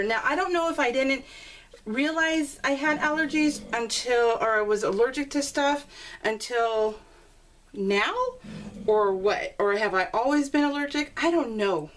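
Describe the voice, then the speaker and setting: A woman chatting casually and rambling as a craft video host, giggly and self-deprecating, telling stories with animated exclamations. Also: fairly high pitch. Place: at home, voice recorded live while crafting. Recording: noisy home recording with clicks.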